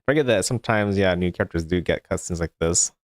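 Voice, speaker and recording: A man's voice: low voice, fast, no echo, clean studio sound. A man giving casual commentary as a streamer playing a video game. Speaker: let's player, streamer.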